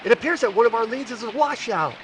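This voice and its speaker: A precise and eager male sidekick, acted by a man in vintage radio drama. He speaks formally in a high, very animated voice, somewhat away from the mic with faint noise. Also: fast talker, recorded from a distance. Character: eager, precise male helper who decodes secret messages. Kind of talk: 1940s radio acting.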